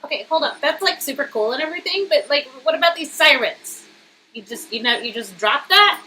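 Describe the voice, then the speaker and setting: A woman's voice: sits high, lively intonation, fairly quick, sharp tone, rough recording. A woman playing a feisty, blunt, inquisitive young woman, questioning informally in a dramatic reading of game dialogue with some background noise. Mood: incredulous.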